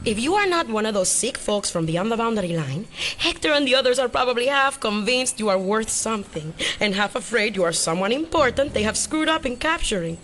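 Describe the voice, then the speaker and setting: An actress playing a brash, sarcastic young hacker, snappy and blunt, in an audio drama. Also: female voice, on the high side, lively intonation, fairly quick, hardly any pauses, fairly bright tone, a little hiss or hum. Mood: blunt, bargaining.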